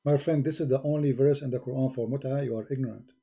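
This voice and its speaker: A man with a husky voice hosting an argumentative religious debate, insistently challenging his opponent and repeating his questions. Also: medium pitch, steady tone, fast, mellow. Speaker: host of a religious debate call-in.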